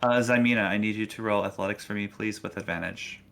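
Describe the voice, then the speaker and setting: Male game master, lowish pitch, forward bright tone, obliging and halting, casual tabletop delivery. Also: steady tone, vocal fry, a little hiss or hum.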